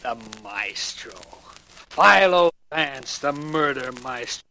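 An actor playing a gruff, stubborn, exasperated homicide sergeant in a 1940s radio detective drama, blustering and slangy as he argues. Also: fairly high pitch, animated, slow, bright, forceful tone, exaggerated voice. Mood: exasperated, sarcastic.

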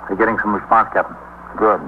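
Actor as a low-voiced, steady police captain. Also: male voice, fast, lightly acted. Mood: businesslike. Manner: matter-of-fact, authoritative. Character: steady, businesslike male New York police captain. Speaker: lead character and narrator. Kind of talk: 1950s radio drama acting. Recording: tinny 1950s radio recording, faint noise.

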